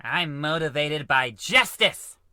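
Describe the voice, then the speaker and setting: A man's voice: fairly high pitch, animated, slow pace, sharp tone, no echo, studio quality, exaggerated voice. A man playing a scornful, indignant space officer turned mutineer, haughty and melodramatic, in an old-time radio comedy. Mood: menacing.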